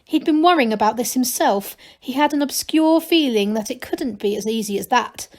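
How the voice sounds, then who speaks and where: Higher-voiced woman reading a storybook. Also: expressive, normal speed. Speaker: teacher reading aloud. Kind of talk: expressive storybook narration.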